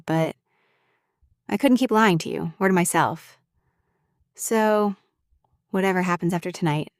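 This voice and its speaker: A woman playing a lovesick, jealous young roommate in an intimate ASMR roleplay, speaking haltingly and confessionally, straight to the listener. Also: lowish voice, no echo, studio quality, lightly acted.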